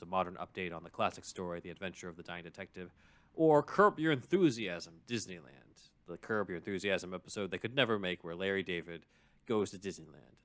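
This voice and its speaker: A man's voice: low-pitched, no echo. A man co-hosting a podcast, reading out plugs in a casual, jokey way. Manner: jokey, bantering. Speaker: podcast co-host.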